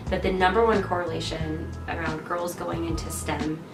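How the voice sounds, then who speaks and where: A thirty-year-old woman speaks in a measured, thoughtful way with a slightly low voice. The mic is at a distance in an echoey room. Music plays beneath. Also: flat delivery. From Salt Lake City, Utah. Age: 30s. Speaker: interviewee. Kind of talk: documentary interview.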